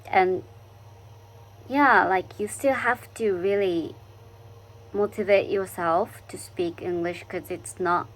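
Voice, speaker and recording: A woman's voice: medium pitch, takes it slowly, long pauses, low-quality recording. A woman with a Japanese accent talking reflectively in a personal vlog, searching for words with many fillers and restarts. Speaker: vlogger. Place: living in London.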